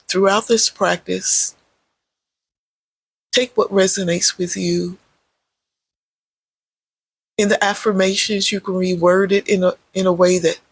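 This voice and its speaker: A meditation guide with a husky, creaky voice, speaking gently in short, measured phrases with pauses between them. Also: medium pitch, dry recording, poor audio. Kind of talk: guided meditation, unscripted spoken guidance.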